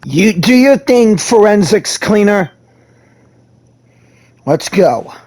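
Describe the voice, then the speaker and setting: A man's voice: high-pitched, animated, takes it slowly, darkish tone. A man playing through a video game, reading the dialogue choices aloud in a casual, offhand, lightly playful way. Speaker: gaming content creator. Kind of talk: reading dialogue options aloud during a playthrough.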